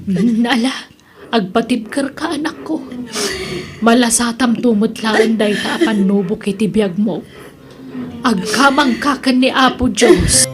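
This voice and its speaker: A woman playing a devout, gentle older mother in an Ilocano audio drama, with a solemn, counselling manner. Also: on the high side, measured pace, crowd noise, close to an everyday voice. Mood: consoling. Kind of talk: Ilocano radio-style drama acting. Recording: music under the voice.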